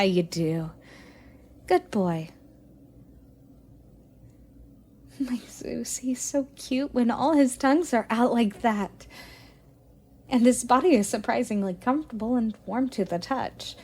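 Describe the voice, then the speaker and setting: A woman playing a curious, playful young goddess in an audio roleplay, sweet and expressive with a slightly haughty streak. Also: fairly high pitch, heavily acted. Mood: playful, cooing. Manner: inquisitive, expressive, cooing at a pet. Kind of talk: romantic audio roleplay addressed to the listener.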